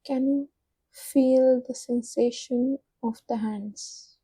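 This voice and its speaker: Soft, breathy, very slow woman guiding meditation. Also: on the high side, steady tone, dry recording. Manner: soft, soothing, unhurried instruction with long pauses. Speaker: meditation guide. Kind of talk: guided meditation.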